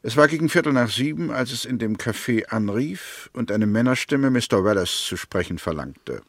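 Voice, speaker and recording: An actor playing a firm, methodical prosecutor in a 1960s German radio drama, speaking formally and precisely. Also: male voice, mid-range voice, expressive, moderate pace, dry recording, studio quality. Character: male prosecutor, methodical, firm, convinced of guilt. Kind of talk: 1960s German radio drama acting, courtroom-style argument.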